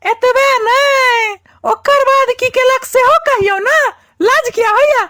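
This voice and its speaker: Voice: very high-pitched, takes it slowly, sharp tone, exaggerated voice. An actor voicing a brash, pushy young village boy in a comic cartoon, gossipy and taunting, speaking with a Maithili accent. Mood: eager, gossipy. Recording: music behind the voice.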